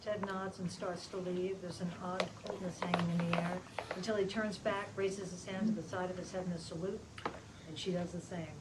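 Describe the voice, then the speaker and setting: A woman's voice: on the low side, steady tone, normal speed. A woman reading scripted stage directions aloud at a table read. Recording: clearly noisy live room recording.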